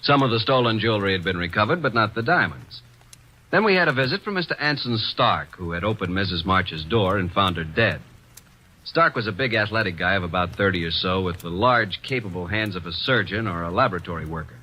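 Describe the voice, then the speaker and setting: A man's voice: on the low side, lively intonation, fairly quick, pushed and bright, poor audio. An actor playing a wisecracking male detective's assistant in old-time radio acting, with wry, hard-boiled narration and quips.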